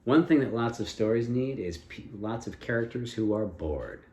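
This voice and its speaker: A man improvising a story as a storyteller, speaking in a deliberate, inquisitive and encouraging manner. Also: medium pitch, expressive, a little mellow. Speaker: storyteller and co-host with a child. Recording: echoey room, faint background noise.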